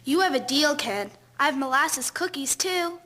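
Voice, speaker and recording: A woman's voice: high voice, lively intonation, normal speed, sharp tone. A woman playing a friendly, eager teenage schoolgirl in a 1920s audio drama. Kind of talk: scripted audio drama acting.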